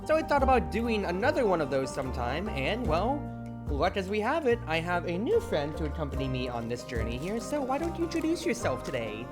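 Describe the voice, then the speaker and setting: Chatty lady streamer, rambles a bit and hams it up, really animated, game music behind. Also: lowish voice, fairly quick. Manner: chatty, rambling, playfully theatrical. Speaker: streamer and host. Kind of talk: casual game-stream chat.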